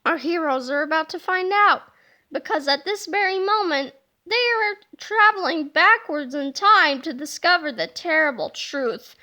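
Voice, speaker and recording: Bright, playful woman reading a children's book. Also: very high voice, measured pace. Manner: animated, playful narration. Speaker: storybook reader. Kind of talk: children's book read-aloud.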